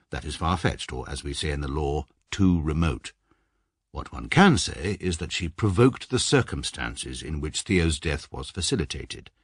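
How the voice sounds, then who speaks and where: A man's voice: deep voice, slightly rough, no room sound, full character voice. A man playing a middle-aged, upper-class English barrister who narrates his life formally and pedantically in a radio drama. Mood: detached, judicial. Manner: formal, pedantic, precisely worded. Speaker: narrator and lead character. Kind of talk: scripted first-person radio drama narration.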